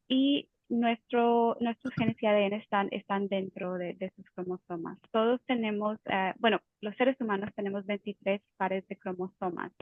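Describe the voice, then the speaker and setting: A woman's voice: mid-range voice, dry recording. Woman, genetic counselor, explanatory webinar talk, fillers and restarts, tinny phone-like audio, very dry sound. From Colombia. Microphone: video call.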